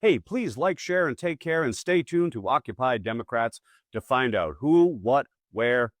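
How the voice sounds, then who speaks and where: A man's voice: medium pitch, expressive, a little slow, no echo. A man hosting a scripted political commentary, with punchy, colloquial, wry asides. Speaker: host.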